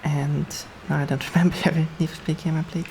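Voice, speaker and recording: Low-voiced Swedish woman streaming a racing game. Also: flat delivery, fast talker, a little mellow, a little hiss or hum. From Sweden. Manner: chatty, laughs often, talks to chat while racing. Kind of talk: casual gameplay commentary.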